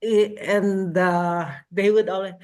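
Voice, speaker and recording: A woman with a strong Filipino accent, talking slowly and earnestly on a panel. She halts and restarts as she speaks, and her voice sits at a middle pitch. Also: flat delivery, darkish tone. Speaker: panel participant.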